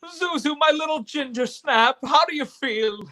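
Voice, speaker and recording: Man acting a high, bright-voiced impassioned family man. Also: a little slow, exaggerated voice. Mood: overjoyed, giddy. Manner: stammering, impassioned, breathless pleading. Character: frantic, despairing then overjoyed family man. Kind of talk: live radio play acting.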